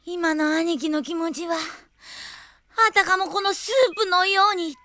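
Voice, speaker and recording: Shrill, whiny boy sidekick. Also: fairly even intonation, unhurried, sharp tone, slight background noise, exaggerated voice. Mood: forlorn, melodramatic. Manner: rough boyish slang, exaggerated wailing. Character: excitable, whiny young boy sidekick, melodramatic and clingy. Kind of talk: comic Japanese radio drama acting.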